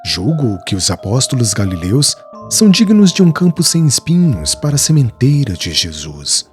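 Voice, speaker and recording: A man reading an audiobook, voicing a humble, devout older male weaver who speaks slowly and earnestly. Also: medium pitch, big pitch swings, soft background music, pitched below the performer's own voice, lightly acted.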